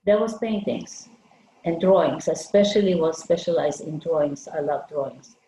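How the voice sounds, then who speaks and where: Low-voiced older woman, soft and halting. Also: echoey room, slight background noise, low-quality recording. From Lithuania. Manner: halting, searching for words. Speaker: guest artist. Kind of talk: conversational interview. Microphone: video call.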